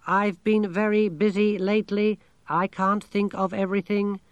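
A man's voice: sits high, level tone, moderate pace, clear, resonant tone, no echo, highs cut off, voice pushed up, natural voice. A man voicing an unflappable, matter-of-fact alien machine that speaks precisely and literally, in a storybook reading. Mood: calm, busy.

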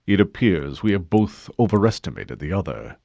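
A man voicing a smug, suave male governor who speaks low and mocking. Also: sing-song, normal speed, gravelly, a little mellow, no echo, highs cut off, heavily acted.

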